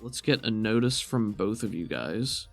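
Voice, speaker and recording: A man's voice: lowish voice, lively intonation, a little slow, faint music behind. A man working as game master, casually improvising descriptions for a tabletop game in a conversational way.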